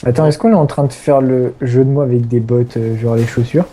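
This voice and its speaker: Mellow French man rambling laid-back. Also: mid-range voice, steady tone, brisk. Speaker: gamer. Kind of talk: casual game commentary.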